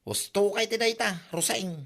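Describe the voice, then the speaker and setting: A woman voicing a boastful village husband in an Ilocano comedy drama, with an animated, exclaiming delivery. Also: medium pitch, moderate pace, vocal fry. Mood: pleased.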